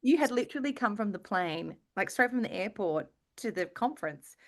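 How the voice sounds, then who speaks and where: An Australian woman co-hosting a podcast, telling casual anecdotes in an animated, teasing way. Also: mid-range voice, brisk, a crowd in the background. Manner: animated, teasing storytelling with acted-out quotes. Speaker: podcast co-host, voice actor.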